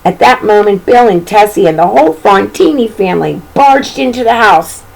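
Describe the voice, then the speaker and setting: A woman's voice: mid-range voice, expressive, moderate pace, vocal fry, a little mellow, low-quality recording. A woman reading a children's storybook aloud in an informal way, with chatty, folksy asides about the pictures.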